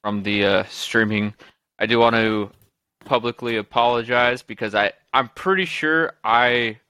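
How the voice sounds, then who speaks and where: Bright-voiced man rambling casually. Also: mid-range voice, measured pace, smooth, dry recording. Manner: rambling, apologetic, many restarts. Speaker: podcast co-host, streamer. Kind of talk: casual podcast chat.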